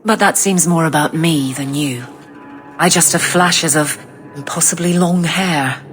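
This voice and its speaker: Low-voiced guarded warrior woman. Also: a little slow, faint music behind. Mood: awkward, gentle. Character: tough, guarded warrior woman, awkwardly tender. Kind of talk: dramatic video game voice acting.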